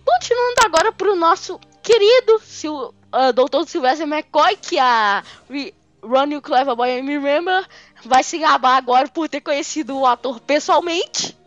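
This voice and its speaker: A woman's voice: high voice, animated, normal speed, fairly bright tone, dry recording. A young woman from Belo Horizonte with a Mineiro accent, chatting casually and giggling as a fan podcast co-host. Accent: Mineiro Brazilian Portuguese. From Belo Horizonte, Brazil. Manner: chatty, giggly, rambling with fillers. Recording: muffled lo-fi audio with faint music.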